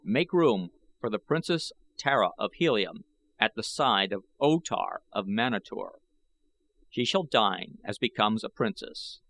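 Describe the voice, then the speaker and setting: A man's voice: lowish voice, expressive, a little slow, gravelly, a bit bright, heavily acted. A man voicing an imperious, scheming Martian king with a commanding, formal manner in an audiobook.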